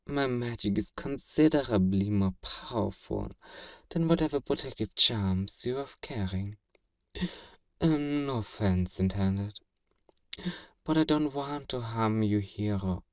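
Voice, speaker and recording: A man's voice: on the low side, lively intonation, no room sound, distant mic. A man playing a powerful, smug fantasy villain in a flirtatious ASMR roleplay, speaking in a theatrical, formal and teasing way. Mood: coolly confident. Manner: theatrical, deliberate, formal, teasing, with breathy whispers.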